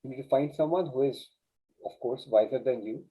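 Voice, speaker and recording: A man's voice: medium pitch, brisk, mellow, breathy edge. A man whose first language is Urdu, a guest coach telling a story in an earnest, casual way with many restarts. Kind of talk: casual interview chat. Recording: dull lo-fi sound.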